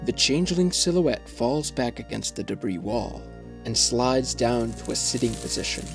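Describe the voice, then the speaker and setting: A man narrating a scripted fantasy story in a measured, descriptive way. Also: mid-range voice, lively intonation, music in the background.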